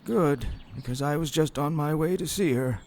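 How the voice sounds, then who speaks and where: An actor playing a guarded, grumbling older husband in an audio drama, with a folksy, exasperated manner. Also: male voice, a little high, moderate pace, a little mellow, a little hiss or hum, close to an everyday voice. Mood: weary, incredulous.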